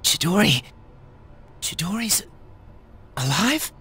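A man voicing a slangy, easygoing but emotional teenage high school boy in a video game. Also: sing-song, takes it slowly, sharp tone, breathy edge, faint music behind, exaggerated voice. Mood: shocked. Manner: casual, slangy. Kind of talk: scripted game voice acting.

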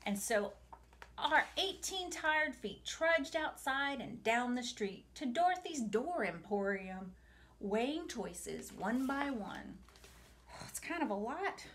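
A woman in her forties reading a picture book aloud to children in an expressive, deliberate way, with asides to her young listeners. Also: fairly high pitch. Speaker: storytime reader for a museum family programme. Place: small home room, indoors.

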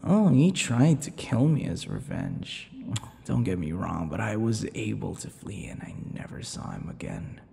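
A man's voice: on the low side, wildly swooping pitch, mellow, long reverb tail, heavily acted. A man playing an obsessive, vain, sadistic vampire lover in an intimate ASMR roleplay, drawing out words and slipping into whispers. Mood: gloating, gleeful. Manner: slow, breathy whispers and giggling, drawn-out words. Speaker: roleplay voice actor.

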